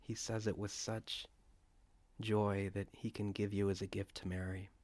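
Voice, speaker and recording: A man leads a guided prayer meditation in a slow, hushed voice that stays low and level. The recording is close and dry. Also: level tone, no room sound. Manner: slow, hushed, imaginative guiding.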